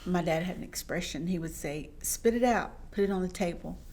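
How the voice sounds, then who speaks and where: Soft-voiced mature woman, slightly low. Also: brisk. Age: middle-aged or older. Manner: gentle but firm, hesitant phrasing. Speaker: guest, the host's mother. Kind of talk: casual heartfelt chat.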